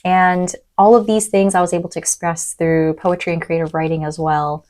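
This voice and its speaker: A young adult woman lecturer who grew up in East Africa, speaking thoughtfully in a podcast interview with frequent pauses and "um"s. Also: fairly low pitch, little pitch movement. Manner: thoughtful, soft-spoken, many "um"s. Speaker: university lecturer.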